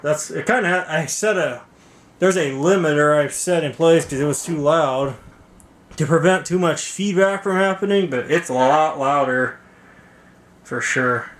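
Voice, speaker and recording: Guy w a kinda high voice doing a casual gear walkthrough, rambly and stop-start, bit noisy. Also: unhurried. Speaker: hobbyist video host demonstrating equipment. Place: home rooms and workshop. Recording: clearly noisy room with clicks.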